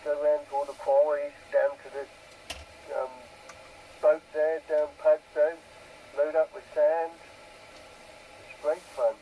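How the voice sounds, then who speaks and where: A man's voice: fairly high pitch, level tone, takes it slowly, pauses often. A man chatting casually over CB radio, rambling along and repeating his phrases. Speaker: CB radio operator. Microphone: two-way radio microphone. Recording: CB radio transmission with background noise.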